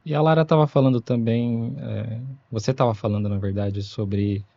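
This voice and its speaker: A man's voice: mid-range voice, steady tone, moderate pace, creaky, dark tone, no room sound, dull audio. A Brazilian man co-hosting an interview, asking questions with hesitant phrasing and frequent fillers. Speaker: podcast co-host. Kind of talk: interviewing a guest.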